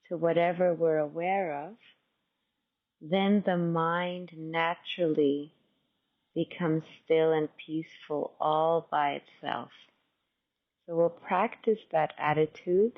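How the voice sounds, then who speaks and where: A woman teacher leading a guided meditation in a gentle, unhurried, conversational and softly instructive way. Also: low voice, phone-quality sound.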